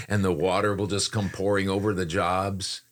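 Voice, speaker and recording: Older man with a very deep voice. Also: level tone, normal speed, crowd noise. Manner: rambling, self-deprecating. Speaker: voice-over talent, podcast co-host. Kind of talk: casual chat.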